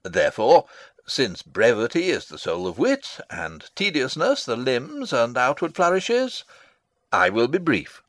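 Pompous old royal advisor, shakespeare style, slow n rambling, super swoopy pitch. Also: male voice, huge pitch swings, a bit bright, dry recording, heavily acted. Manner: rambling, self-satisfied, theatrical. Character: pompous, long-winded old male royal counsellor and father. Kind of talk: classical Shakespearean stage acting, dramatic reading.

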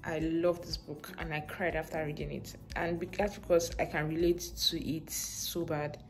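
A young woman with a Nigerian accent chatting casually to her phone camera as a vlogger, relaxed and rambling. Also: low-pitched, moderate pace. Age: young adult. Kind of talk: casual vlog chat to camera. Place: bedroom, in bed; also parked car. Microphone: phone held at arm's length. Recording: background music under the voice.

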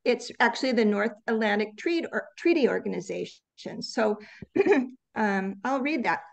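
A woman teaching an online English class over a video call, reading slides slowly and patiently correcting students. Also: on the high side, lively intonation, creaky. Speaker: English tutor.

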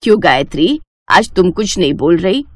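Woman voicing a low-pitched, commanding old mother-in-law. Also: big pitch swings, moderate pace, dry recording, clean studio sound. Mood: stern, challenging. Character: stern, shrewd elderly mother-in-law testing her daughters-in-law. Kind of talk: animated moral story dialogue.

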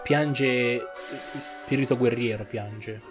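A young adult man giving casual reaction commentary on an animated film. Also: medium pitch, slow pace. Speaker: reactor commenting on an anime fandub. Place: indoor room at home. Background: music and sound of the anime playing.

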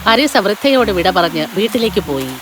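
Animated woman narrating a fairy tale. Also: mid-range voice, fairly quick, music in the background. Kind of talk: scripted children's storytelling.

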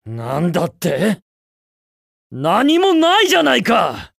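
A cocky young hedgehog hero for a video game. The delivery is upbeat, brash and casually slangy, moving freely up and down in pitch. The sound is dry and studio-clean, with no room around it. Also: male voice, wildly swooping pitch, slow, creaky, a bit bright, dry recording, full character voice. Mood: taunting, confident. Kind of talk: Japanese video game voice clips.

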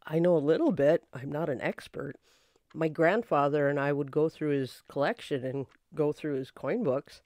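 A woman's voice: sits low, normal speed, a little mellow, dry recording, close to an everyday voice. A woman playing a chatty, modest secretary to a private eye in an old-time radio drama, plain-spoken in manner. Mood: friendly, modest.

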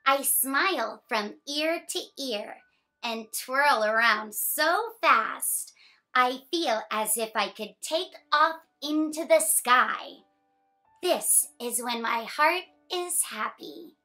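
A young woman reads aloud for children as a story time host. Her voice sits high and bright, and she takes her time with each phrase. The delivery is animated and expressive, suited to very young listeners. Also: slow, faint music behind. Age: young adult. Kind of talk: picture book read-aloud for children.

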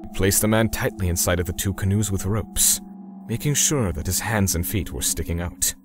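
A male actor as a righteous, menacing torturer narrating a manga story. His low voice is grim and measured, with music playing behind it. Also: close to an everyday voice. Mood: clinical. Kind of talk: scripted manga dub narration.

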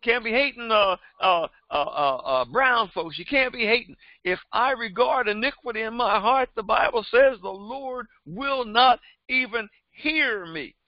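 A man's voice: very high-pitched, expressive, a little slow, hardly any pauses, no room sound. An older man, a pastor, preaching a Bible lesson in a fervent, repetitive cadence through a headset microphone in a small studio. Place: small indoor studio before a printed backdrop. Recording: dry, slightly dull sound.

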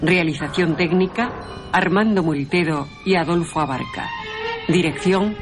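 Woman announcing credits over loud music. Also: lowish voice, a little slow. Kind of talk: scripted radio announcement.

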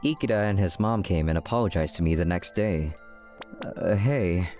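A man's voice: low voice, moderate pace, darkish tone, soft background music, natural voice. A man voicing a guarded teenage schoolboy who narrates his story casually in a manga dub. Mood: awkward, grateful. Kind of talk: scripted first-person manga dub narration.

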